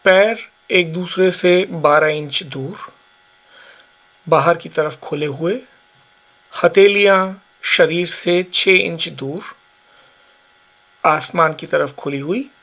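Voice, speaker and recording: A man guiding a yoga nidra relaxation session with slow, calm, measured instructions. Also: fairly high pitch. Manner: slow, calm, measured guidance with long pauses. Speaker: yoga teacher.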